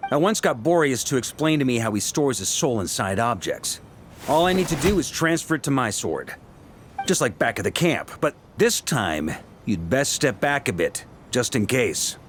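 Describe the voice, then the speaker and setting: An actor playing a confident, easygoing seasoned warrior in a video game, explaining things in a measured, theatrical way. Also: male voice, medium pitch, sing-song, a little hiss or hum, natural voice. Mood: assured. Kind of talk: video game character dialogue. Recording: background music under voice.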